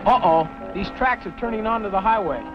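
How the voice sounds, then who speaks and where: A man's voice: high-pitched, fairly quick, lightly acted. A male actor playing an earnest, eager teenage ranch boy in a 1950s radio drama. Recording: muffled old radio recording, music behind.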